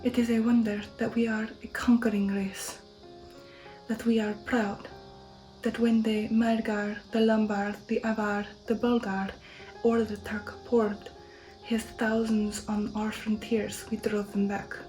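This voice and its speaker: A woman voicing a proud old male vampire count in a gothic storybook, soft and half-whispered, stately and declamatory. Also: a little high, little pitch movement, a little slow, faint music behind, lightly acted, low-quality recording. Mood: solemn pride. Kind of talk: ASMR audiobook reading of a gothic novel.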